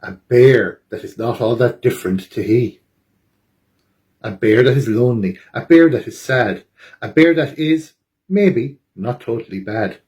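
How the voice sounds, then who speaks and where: Guy doing storytime, reading a rhyming kids book all dramatic, normal mid pitch voice. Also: unhurried, darkish tone. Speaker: library storytime reader. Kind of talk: expressive children's picture book read-aloud.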